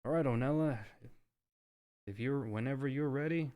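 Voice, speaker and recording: A man's voice: medium pitch, normal speed, dark tone. A man chatting casually as the host of an ASMR roleplay livestream, his voice in a long reverb. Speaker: streamer hosting an ASMR roleplay spa. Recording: long reverb, music behind the voice.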